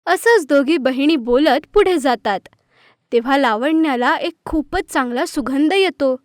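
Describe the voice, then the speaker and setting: A woman's voice: high-pitched, measured pace, no room sound, clean studio sound. A woman narrating a scripted children's story.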